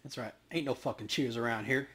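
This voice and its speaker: A man's voice: mid-range voice, brisk, fairly bright tone. A man co-hosting a let's play, casually commenting and reading game text aloud in a joking, irreverent way.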